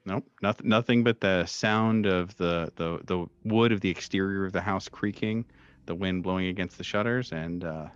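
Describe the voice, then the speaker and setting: A low-pitched man acts as game master for a tabletop horror session, describing scenes in halting, tentative phrases. The recording is dry and somewhat dull and lo-fi. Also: smooth, no echo.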